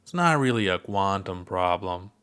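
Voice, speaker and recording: A man's voice: low voice, animated, moderate pace, a bit bright, smooth. A man reading aloud, voicing an older, laid-back alien space explorer who talks in an easygoing, unbothered way. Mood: relaxed.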